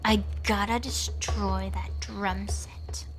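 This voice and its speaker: A woman's voice: medium pitch, steady tone, slow pace, soft background music. A woman playing a cunning, combative young girl godling in an audio drama, threatening and defiant. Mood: scheming.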